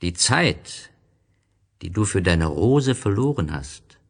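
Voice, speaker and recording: Storybook fox, played by a man: wise and gentle, unhurried low delivery, earnest and solemn. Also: very animated, heavily acted. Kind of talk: storybook character reading.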